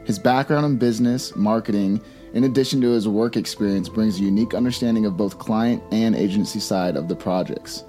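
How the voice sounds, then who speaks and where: A man's voice: fairly low pitch, a little fast, warm tone, soft background music. A man hosting a podcast, speaking in a friendly, slangy way and calling his guest bro. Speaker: podcast host. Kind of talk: casual interview chat.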